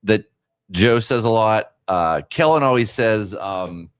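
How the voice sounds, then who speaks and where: A man with a fairly low, expressive voice, hesitant and filler-heavy as he chats about editing clips. He is recorded close and dry. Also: slow pace, clear, resonant tone, no echo. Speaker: producer and editor. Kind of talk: casual chat.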